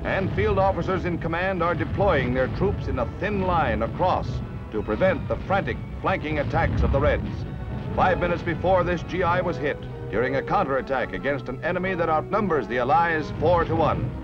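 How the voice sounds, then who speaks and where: A muffled vintage newsreel soundtrack carries an American man narrating in a fairly high voice. His delivery is formal, dramatic and emphatic, in the scripted announcer style of the early fifties. Music plays clearly behind him throughout. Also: lively intonation, moderate pace.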